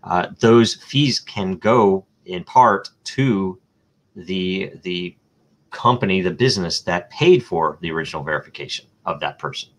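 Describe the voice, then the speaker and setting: A man's voice: low voice, a little slow, a bit bright, low-quality recording. A man answering questions as a guest in a live online interview, explaining step by step through examples and thinking aloud with frequent ums, uhs and restarts.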